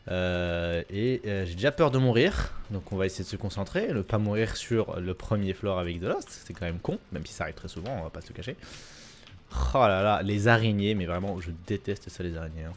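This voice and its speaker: Low-voiced man rambling over gameplay. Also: a little fast. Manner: relaxed, rambling, many fillers and repeats. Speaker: gaming YouTuber. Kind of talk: casual gameplay commentary. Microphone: desk mic at a gaming setup.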